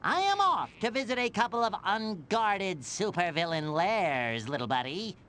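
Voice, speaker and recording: A woman's voice: medium pitch, big pitch swings, moderate pace, fairly bright tone, a little hiss or hum. A woman voicing a childish, self-declared evil hermit in a cartoon game, gleeful and theatrical, with boastful asides. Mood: gleeful scheming. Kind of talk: video game cartoon voice acting. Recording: music behind.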